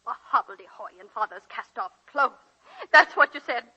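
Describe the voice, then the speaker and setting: An actress playing a proud, warm-hearted, stubborn aging woman who teaches college English, in the style of 1940s radio drama. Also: fairly high pitch, animated, normal speed, exaggerated voice. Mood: indignant. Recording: dull, husky 1940s radio broadcast.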